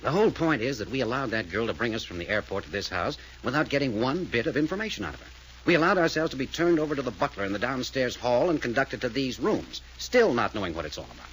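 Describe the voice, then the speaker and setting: A brisk, level-headed leader of adventurers, talking fast with a lively, mid-pitched voice. There is a faint hiss behind him, as on an old radio serial. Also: male voice, rough recording. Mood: reasoning. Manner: brisk, reasoning, commanding. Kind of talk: 1940s radio acting.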